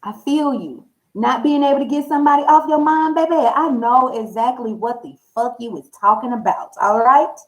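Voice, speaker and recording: A woman's voice: sits high, moderate pace, rough recording. An American woman hosting a channel, talking casually and candidly to viewers in emphatic, salty slang. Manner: candid, emphatic storytelling with acted-out dialogue. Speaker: host of a tarot reading channel. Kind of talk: casual personal talk to viewers.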